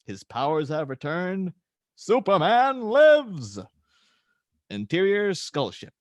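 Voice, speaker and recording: A man's voice: high-pitched, big pitch swings, slow pace, no room sound. A man reading stage directions as the narrator of a dramatic superhero script table read.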